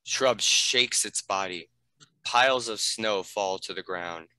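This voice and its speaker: A man's voice: fairly low pitch, takes it slowly, bright, forceful tone, dry recording. A man giving scripted narration, reading out the stage directions of a screenplay.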